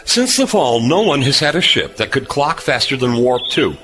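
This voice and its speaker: An actor playing a gruff, weary former starship captain turned repairman in a sci-fi audio drama, dry, curt and sardonic. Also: medium pitch, big pitch swings, moderate pace, bright, forceful tone, soft background music. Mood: sardonic, guarded. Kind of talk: science fiction audio drama acting.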